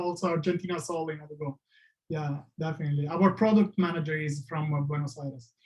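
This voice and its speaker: A man's voice: fairly high pitch, a little mellow, dull audio. A man presenting his product in a webinar over a video call, talking in a loose, conversational way with hesitant restarts and non-native phrasing.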